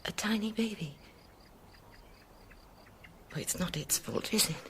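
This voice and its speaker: An actress playing a grieving British bistro woman in a radio drama, timid and tender, husky and breathy, halting and tearful. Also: mid-range voice, expressive, fairly bright tone, a little hiss or hum. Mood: hushed, astonished.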